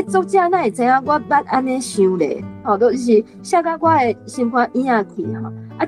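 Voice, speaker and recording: A woman in her late fifties with a Taiwanese accent, discussing a story as a guest and sharing personal anecdotes. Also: on the high side. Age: late 50s. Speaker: guest panelist.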